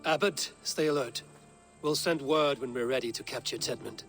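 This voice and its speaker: A man voicing a commanding, fatalistic Viking warrior in a video game, measured and terse. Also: medium pitch, a bit bright, soft background music, natural voice. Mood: grave, resolute. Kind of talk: video game story acting.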